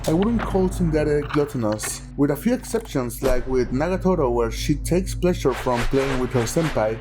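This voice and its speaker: A man's voice: mid-range voice, animated, normal speed, over music. A man hosting and narrating an art video in scripted prose, with joking asides.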